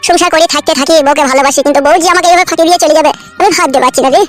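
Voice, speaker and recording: A man's voice: very high voice, fairly even intonation, racing, fairly bright tone, soft background music. A man voicing a heartbroken, naive young nephew in a comedy cartoon, plaintive and crude, with a rural West Bengal accent. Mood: self-pitying.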